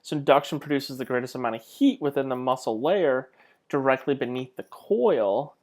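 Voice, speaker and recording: A man giving an informal slide lecture in a conversational way, with rhetorical questions and self-deprecating asides. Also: mid-range voice. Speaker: lecturer, clinical instructor.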